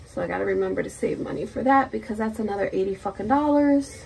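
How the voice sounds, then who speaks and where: Creaky-voiced woman chatting casually. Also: medium pitch, fast talker, a little mellow, a little hiss or hum, rough recording. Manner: rambling, swears freely. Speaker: vlogger. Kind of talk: casual chat, personal vlog. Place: small indoor room at home.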